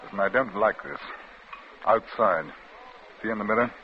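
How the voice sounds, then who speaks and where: A young British man played by an actor is a gentleman crook, urbane and nonchalant, with a mid-pitched voice. The vintage radio recording is dull, with slight noise. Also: moderate pace, close to an everyday voice. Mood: low, cool. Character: suave, flippant young English gentleman thief. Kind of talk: 1940s-50s radio drama acting.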